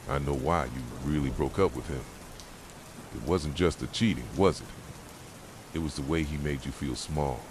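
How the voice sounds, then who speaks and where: A man playing a possessive, jealous boyfriend in an ASMR roleplay, speaking softly in an intense, accusing, dramatic way. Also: extremely low pitch. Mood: low, bitter. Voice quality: soft voice. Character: possessive, jealous yandere boyfriend confronting his partner. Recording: rain and thunder noise behind. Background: rain and thunderstorm ambience.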